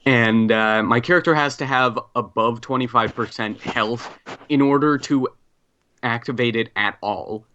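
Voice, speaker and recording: A man talks casually as a player in a tabletop role-playing session. His pace is slow and halting, with stops as he explains. The recording is somewhat muffled and lo-fi. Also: medium pitch, bright, forceful tone. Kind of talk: casual chat, explaining a game character.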